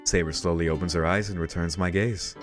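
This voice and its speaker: A man's voice: low voice, fairly even intonation, brisk. A man reading a visual novel aloud as a let's play host, with casual reactions and game music behind him. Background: visual novel soundtrack.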